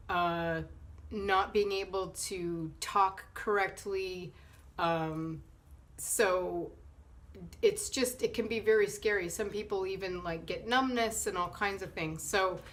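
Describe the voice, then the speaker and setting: A woman in her thirties with a cold, sounding a little nasal, talking casually to camera in a living room and pausing often with fillers. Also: fairly low pitch, a bit bright. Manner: hesitant, many fillers and restarts. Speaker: vlogger. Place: sunny living room indoors.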